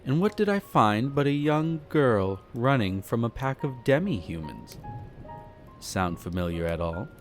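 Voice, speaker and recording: A man's voice: medium pitch, very animated, a little slow, faint music behind. A man playing a suave, flirtatious aristocratic vampire who talks to the listener with amused, haughty condescension in a roleplay audio drama. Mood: amused storytelling. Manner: theatrical, leisurely storytelling with teasing, haughty, formal wording.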